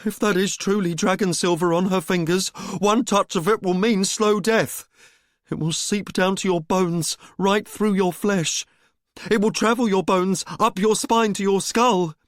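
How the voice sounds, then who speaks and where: A woman's voice: medium pitch, steady tone, no echo, clean studio sound, pitched above the performer's own voice, natural voice. A man voicing a grim mother who gives an ominous warning. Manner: slow, grave warning.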